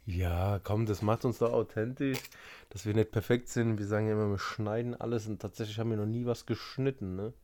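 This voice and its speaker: A man's voice: fairly low pitch, fairly even intonation, brisk, dark tone, slight background noise. A man co-hosting a casual podcast, joking and laughing often and trailing off mid-sentence. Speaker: podcast co-host. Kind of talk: casual chat.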